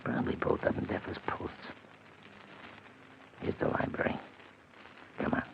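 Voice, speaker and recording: An actor playing a pushy, callous burglar who talks in bullying slang in a 1940s radio crime drama. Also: male voice, normal speed, lightly acted. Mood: hushed, urgent. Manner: slangy, bullying tough-guy talk. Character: hard-boiled male burglar and killer, pushy and callous. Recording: muffled, noisy old radio recording.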